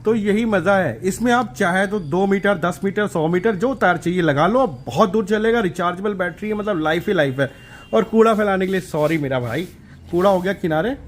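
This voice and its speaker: A man's voice: high-pitched, brisk. A man hosting a hands-on electronics tutorial in a casual, informal, colloquial way, often repeating himself for emphasis. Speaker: DIY electronics channel host.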